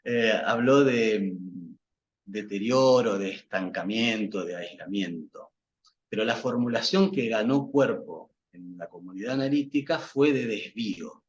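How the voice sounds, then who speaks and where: A man's voice: fairly low pitch, a little slow. A man whose first language is Portuguese, giving a reflective academic talk as a psychoanalyst guest, hesitant, with many fillers and restarts. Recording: dull lo-fi sound with faint noise.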